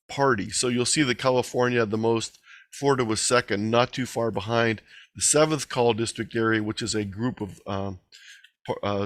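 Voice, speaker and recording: A man's voice: on the low side, a bit bright, no echo. A man giving an informal online presentation, explaining and reading out figures in a conversational way. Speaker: presenter of an amateur radio talk. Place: online video meeting.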